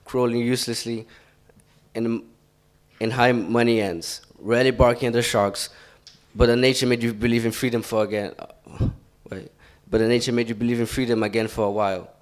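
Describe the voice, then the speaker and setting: Teen boy, hesitant poem reader, mid-pitched voice. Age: teens. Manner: hesitant, stumbling reading. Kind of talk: reading his own poem.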